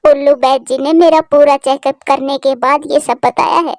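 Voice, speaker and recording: A woman voicing a gentle, sorrowful, self-sacrificing female bird wife in a cartoon, speaking in an earnest, emotional way. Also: high voice, steady tone, moderate pace, no room sound, clean studio sound, natural voice. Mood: tearful, despairing. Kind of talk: Hindi cartoon voice acting.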